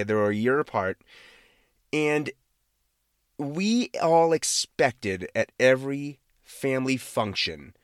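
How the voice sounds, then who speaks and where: A man's voice: medium pitch, dry recording, studio quality. An American man hosting a casual devotional talk, speaking conversationally and emphatically, often repeating words for stress and checking in with the listener. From Boston area, United States. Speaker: podcast host.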